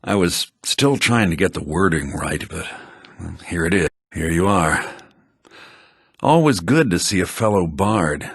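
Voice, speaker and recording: Theatrical fantasy townsman with swooping pitch. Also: animated, a little slow, husky, no room sound, clean studio sound, heavily acted. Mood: sheepish. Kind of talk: video game character dialogue. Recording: low-bitrate game audio.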